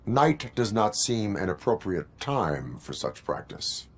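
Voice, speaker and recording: A man voicing a stoic cyborg police officer in a video game, speaking in stiff, formal, deliberate phrases. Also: on the low side, very animated, full character voice. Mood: stern, flat. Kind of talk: video game cutscene acting.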